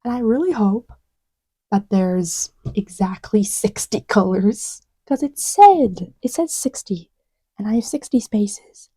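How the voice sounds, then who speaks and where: A woman acts out a playful young girlfriend in an intimate audio roleplay. Her voice is very dark and mellow, with a breathy softness. She murmurs haltingly and unhurriedly, teasing while half absorbed in what she is doing, and sounds close and dry. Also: medium pitch, expressive, dry recording. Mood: absorbed, casual.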